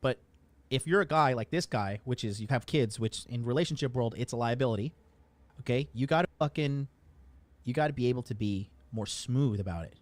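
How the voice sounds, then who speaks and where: A man's voice: mid-range voice, fairly quick, no echo. A man giving casual commentary in a blunt, profane, lecturing way.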